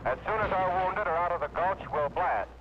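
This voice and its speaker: A man's voice: high voice, animated, a little fast, lo-fi sound, a little hiss or hum. A man giving clipped, commanding military orders over a 1940s field radio.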